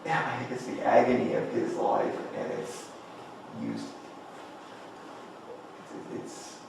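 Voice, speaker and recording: A man discussing his character at a rehearsal, halting and searching for words. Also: on the low side, big pitch swings, creaky. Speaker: actor in a table read. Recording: echoey room, distant mic, noise.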